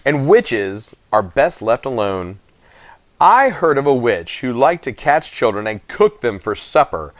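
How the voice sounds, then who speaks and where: A man reading a children's story aloud as narrator, with expressive, dramatic emphasis on phrases. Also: mid-range voice, no echo. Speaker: audiobook reader.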